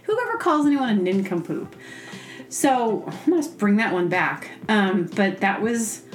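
A woman's voice: medium pitch, big pitch swings, a little slow, faint music behind. A woman with a mid-range voice tells loose, comic stories in a casual podcast style. She rambles, pokes fun at herself and acts out her own reactions and asides. The sound is roomy, with audible echo. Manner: rambling, self-deprecating humor. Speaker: podcast host. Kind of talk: casual comedic storytelling.

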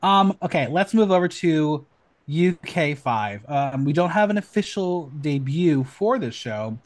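A man hosting a casual podcast, chatty and excitable, rattling off news with lots of ums. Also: fairly high pitch. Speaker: podcast host.

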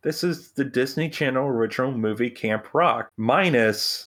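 A man hosting a podcast in a casual film-review chat, blunt and given to pauses. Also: mid-range voice, fairly bright tone. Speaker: podcast host.

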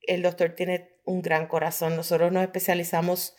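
A woman's voice: lowish voice, little pitch movement, normal speed, a little mellow. A middle-aged woman with a Puerto Rican accent, telling her story conversationally as an interview guest and mixing in English phrases. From Puerto Rico. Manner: mixes English phrases into Spanish. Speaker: guest, pediatric dental hygienist.

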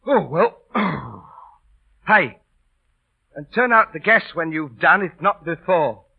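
A cocky, kindhearted London street performer. His voice is fairly high and swings up and down with wry, theatrical flair. It sounds like a muffled, narrow-band radio play from the 1930s or 40s. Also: very animated, slow pace, vocal fry, husky, heavily acted. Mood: wry, teasing. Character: cocky, teasing, kindhearted working-class London busker. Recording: muffled old radio broadcast recording.

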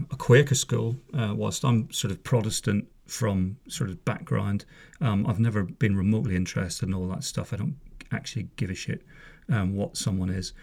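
A man in his fifties from Northern Ireland talks casually at home, wry and easygoing, drifting into asides. His voice sits fairly low and has a gravelly edge. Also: a little mellow. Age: 50s. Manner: chatty, wry, wanders off on asides. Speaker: podcast host, former police officer. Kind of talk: casual spoken monologue. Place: home room.

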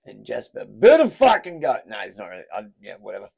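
A man's voice: lowish voice, huge pitch swings, fast, creaky, a little mellow, slightly rough. Laid-back swearing Australian man. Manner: laughing, swearing, loose banter. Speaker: podcast host, boxing coach. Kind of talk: casual chat.

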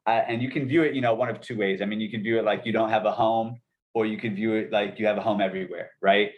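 Rambling young man, low muffled voice. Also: fairly even intonation, fast talker. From Montgomery County, Maryland, USA. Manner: rambling, many restarts and fillers. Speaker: podcast guest. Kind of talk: casual interview chat.

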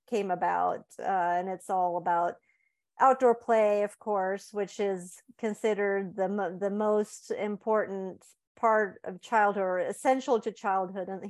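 This is a woman in her forties who grew up in Sweden, appearing as a guest author in a casual interview. Her voice sits at an ordinary middle pitch for a woman. She talks in a thoughtful, halting way, with frequent fillers and restarts. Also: darkish tone.

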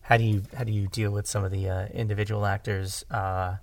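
A man talking casually as a podcast guest about his craft as a director, halting and animated as he thinks aloud. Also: lowish voice, gravelly, dry recording. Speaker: audio drama director, interview guest.

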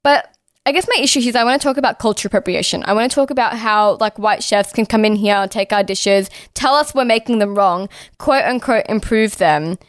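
A woman's voice: medium pitch, fairly quick, no echo, clean studio sound. A young Australian woman talking casually and bluntly as a podcast co-host, saying "like" constantly and swearing freely. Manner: outspoken, heavy use of "like", swears freely.

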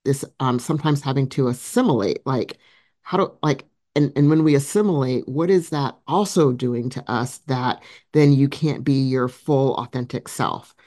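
A soft-spoken woman hosting a casual interview. Her naturally deep voice is kept gentle, and she pauses on fillers as she builds her questions. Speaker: podcast host.

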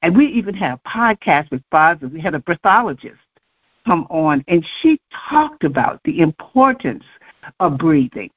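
Female podcast host, low voice, affirming, reads points, muffled lo-fi sound. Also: big pitch swings, normal speed. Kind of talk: casual podcast talk.

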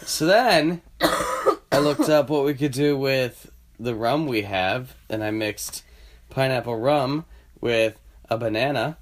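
A man's voice: medium pitch, animated, slow pace, a little hiss or hum. A man hosting a podcast, chatting loosely and rambling after a few drinks. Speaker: podcast host. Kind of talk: casual chat.

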